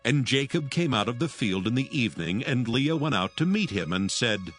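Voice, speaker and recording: A man's voice: medium pitch, big pitch swings, normal speed, a bit bright, soft background music. A man narrating scripture from a script in a solemn style.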